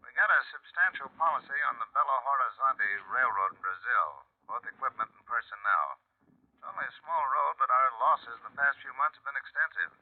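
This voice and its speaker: Casting: male actor as American insurance boss, medium pitch, brisk factual phone manner, vintage radio. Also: lively intonation, lightly acted. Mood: businesslike, concerned. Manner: brisk, matter-of-fact. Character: businesslike American male insurance executive on the telephone. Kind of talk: 1950s radio drama acting. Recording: dull vintage radio, telephone sound.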